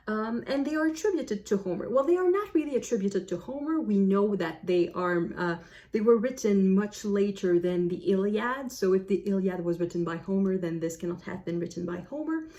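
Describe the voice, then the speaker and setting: A woman with a French accent speaks in a fairly high, very soft-toned voice. She talks casually to viewers as a book reviewer, reflective and explanatory, revising her statements as she goes.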